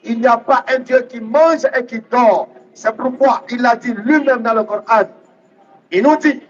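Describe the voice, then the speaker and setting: Guy preaching islam, really high voice, teaching hard and repeating lines, muffled lo-fi audio. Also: normal speed. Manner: emphatic, didactic, repeats readings phrase by phrase. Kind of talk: public religious debate preaching. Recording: dull, lo-fi sound.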